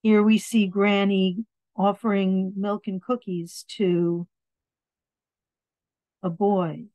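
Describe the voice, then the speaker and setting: A woman reading children's picture books aloud in an expressive way, adding asides about the pictures. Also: medium pitch, slow pace, dry recording, lo-fi sound. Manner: expressive, acts out words and dialogue.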